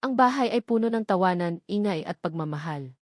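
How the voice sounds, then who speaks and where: A woman's voice: on the low side, expressive, fairly quick, a little mellow, no room sound, studio quality. A woman reading a scripted romance story as its narrator.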